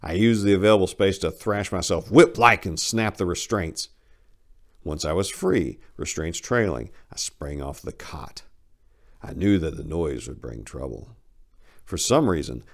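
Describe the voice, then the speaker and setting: A man's voice: deep voice, expressive, normal speed, gravelly. A man narrating a short story as a dramatic reading, as its host.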